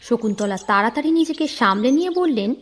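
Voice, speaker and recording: A woman narrating an audio story in an expressive, dramatic storytelling manner. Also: a little high, brisk, a little hiss or hum.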